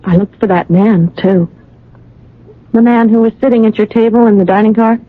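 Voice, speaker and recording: An actress playing an earnest, wistful young woman traveler in a radio drama, speaking in a measured, reflective way. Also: fairly high pitch, lightly acted. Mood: curious, earnest. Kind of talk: 1980 radio drama acting and first-person narration. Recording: muffled vintage radio with music bed.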